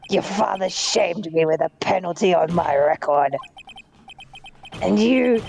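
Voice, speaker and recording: Vengeful male prosecutor villain for courtroom game dub, played by a woman: deep, deliberate, menacing, faint music behind. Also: vocal fry, a little mellow, exaggerated voice. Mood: furious, vengeful. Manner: theatrical, menacing, deliberate delivery. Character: vengeful, arrogant, aging male prosecutor villain confessing murder.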